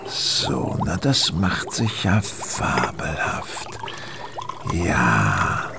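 A man playing a brilliant, eccentric, self-assured detective in a German radio play, with a lecturing and excitable manner. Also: very deep voice, extremely slow, gravelly, heavy background noise, exaggerated voice. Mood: absorbed. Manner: theatrical, lecturing, self-satisfied.

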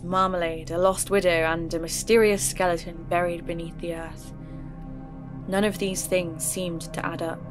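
An actress narrating in first person as a podcaster looking into a mystery. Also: female voice, on the low side, close to an everyday voice. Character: young female podcaster investigating a mystery. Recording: music bed under narration.

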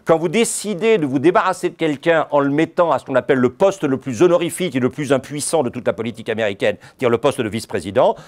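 A man's voice: mid-range voice, lively intonation, fast, hardly any pauses. A middle-aged man from France giving a lecture on history, digressive and ironic, dropping frequent asides to his audience. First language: French. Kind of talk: university-style history lecture. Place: lecture hall.

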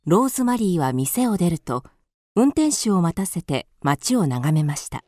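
A woman's voice: on the low side, sing-song, normal speed, mellow, slight background noise. A woman narrating a radio drama from a script as its storyteller.

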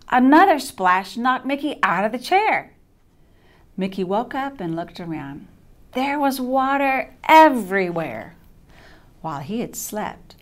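An older woman reading a children's bedtime story aloud in an expressive, deliberate way, with dramatic emphasis. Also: medium pitch. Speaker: storybook reader, childcare coordinator. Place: small indoor set with curtain backdrop.

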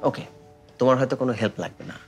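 A man's voice: mid-range voice, steady tone, racing, over loud music, lightly acted. A young man with a Bangladeshi accent acting an earnest, supportive college friend who speaks persuasively in a short-film drama, mixing in English. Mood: pleading, earnest. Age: young adult. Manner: persuasive, mixes English into speech.

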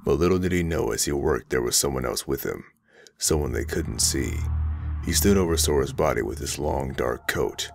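A man's voice: extremely low pitch, lively intonation, moderate pace, creaky. A man reading scripted narration for an audio drama. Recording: music under the narration. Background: loud background music.

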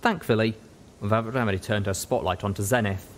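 Low-voiced man narrating in an echoey room. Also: brisk. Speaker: audiobook narrator. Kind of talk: scripted story narration. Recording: roomy echo, audible noise, low rumble.

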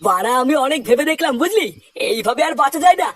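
A man voicing a hard-drinking, henpecked old father in a comedy cartoon, crude and blustering, with a pitched-up voice. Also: lively intonation, fast talker, a bit bright, dry recording, recorded from a distance. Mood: defiant, boastful. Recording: music bed behind the voice.

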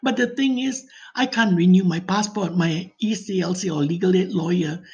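Older woman caller, breathy, pleading, anxious run-on voicemail. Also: fairly low pitch, a little mellow. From Malaysia. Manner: worried, pleading, rushed run-on telling. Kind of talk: phone voicemail. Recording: voicemail phone line.

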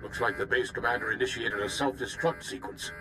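An actor playing a grave, commanding Jedi commander in a video game, measured and serious. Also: male voice, medium pitch, natural voice. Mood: urgent. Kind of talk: video game cutscene acting. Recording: music behind the voice.

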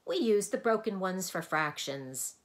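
A grandiose male number wizard, as a woman reading aloud puts him on, who bellows his boasts across a cavern and then leans in to confide. His voice sits higher than her own low reading voice and swells loud and proud. It is a storybook character with a theatrical, showy manner. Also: animated, a little slow.

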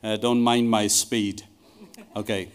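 A man's voice: fairly low pitch, flat delivery, slow pace, clear, resonant tone. A middle-aged man speaking to a congregation from a church lectern microphone. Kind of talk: addressing a congregation, reading a testimony aloud. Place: large church hall, indoors.

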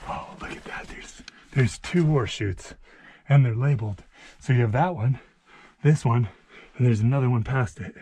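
Man exploring a mine, mellow voice. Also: mid-range voice, moderate pace, breathy edge. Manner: curious, thinking aloud, often hushed to near-whisper. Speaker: mine explorer and channel host. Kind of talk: casual exploring commentary. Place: inside an abandoned mine tunnel.

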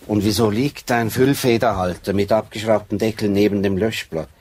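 A male actor plays a patient, brooding detective sergeant in a radio play. His voice is fairly low, his words terse and musing. There is faint noise behind him. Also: steady tone, normal speed, a little mellow, lightly acted. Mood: probing, musing. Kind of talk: radio drama acting. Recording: slightly noisy radio play.

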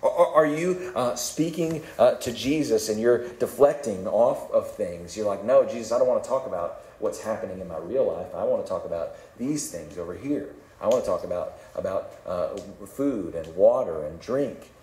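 A male pastor preaching a sermon in a calm, conversational way, self-deprecating and often repeating words. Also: fairly low pitch, moderate pace, few pauses, echoey room. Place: church sanctuary.

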